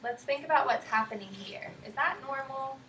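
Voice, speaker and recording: A woman's voice: high voice, normal speed, sharp tone. A woman reading a picture book aloud to young children, asking simple questions and prompting and affirming their answers. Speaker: student teacher reading aloud to kindergarten children. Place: echoey indoor room with children. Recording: roomy, noisy, distant microphone.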